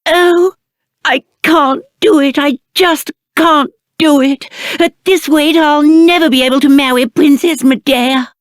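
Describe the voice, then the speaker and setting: A man's voice: very high voice, fairly even intonation, a little slow, dry recording, studio quality. A British man playing a spoiled, cowardly young prince in a video game, with a pompous, petulant and theatrical delivery. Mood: self-pitying, whiny. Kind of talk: video game character acting.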